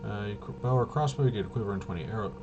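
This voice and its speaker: Low-voiced man rambling through a game walkthrough. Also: very fast, muffled recording, background noise. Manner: rambling, muttering to himself, playful interjections. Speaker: host of a tabletop RPG channel. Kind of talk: casual thinking-aloud game walkthrough.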